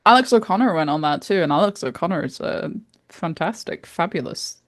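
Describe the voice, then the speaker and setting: A young woman co-hosting a podcast, telling stories in a rambling, animated way and swearing freely. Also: fairly low pitch, brisk, dry recording, clean studio sound. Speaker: podcast co-host. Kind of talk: casual storytelling chat.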